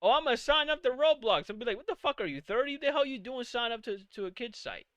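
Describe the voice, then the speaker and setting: Male host, late 20s, high crisp voice, dry close mic, profane exasperated streamer rant. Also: big pitch swings, quick pace, a bit bright, dry recording, highs cut off. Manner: exasperated, profane, rhetorical questions. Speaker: podcast host. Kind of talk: live-streamed casual rant.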